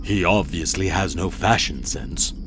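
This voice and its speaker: An actor playing a vain, bored alien starship captain in a comedic audio drama, with a pompous, languid, fussy delivery. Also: male voice, deep voice, measured pace, fairly bright tone, a little hiss or hum. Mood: fussy, imperious. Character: vain, bored male alien spaceship captain obsessed with grooming.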